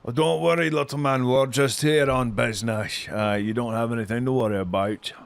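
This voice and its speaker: A man's voice: mid-range voice, fairly even intonation, a little fast, gravelly, clean tone, no echo, close to an everyday voice. A man voicing a powerful, stocky dwarf in a tabletop game, casual and placating. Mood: reassuring. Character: powerful stocky male dwarf, calmly dismissive and reassuring.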